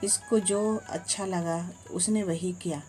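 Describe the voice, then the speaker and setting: A woman voicing a calm, forgiving holy man and father in a storybook tale. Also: fairly low pitch, slow pace, music in the background, poor audio. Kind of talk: storybook narration.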